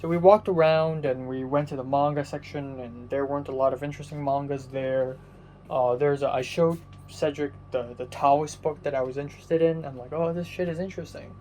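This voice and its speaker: A young man from Hong Kong, whose first language is Cantonese, rambles through a personal story in English full of fillers, restarts and casual swearing. His voice sits a little high for a man. Faint background noise runs under the recording. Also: steady tone, brisk, warm tone. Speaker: vlogger.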